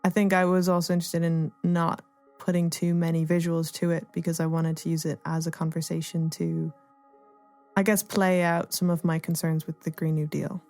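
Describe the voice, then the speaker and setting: Woman, lower register, very level intonation, thoughtful interviewee feeling out each idea, faint music underneath. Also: flat delivery, normal speed, a little mellow. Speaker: artist and playwright being interviewed. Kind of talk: reflective interview conversation.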